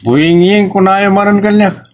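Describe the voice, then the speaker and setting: A man's voice: sits high, measured pace. A man with an Ilocos accent playing an aggrieved married son who questions his parents insistently in a drama. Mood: troubled. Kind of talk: Ilocano audio drama acting.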